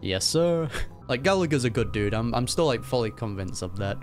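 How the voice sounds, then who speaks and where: A man's voice: medium pitch, lively intonation, normal speed, soft background music. A man giving casual, slangy, off-the-cuff commentary as he reacts to a video game. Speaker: gaming reaction host.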